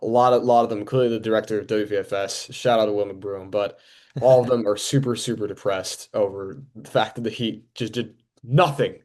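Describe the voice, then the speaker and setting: A man's voice: fairly low pitch, steady tone, brisk, darkish tone. Young man hosting a sports podcast. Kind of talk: casual sports talk.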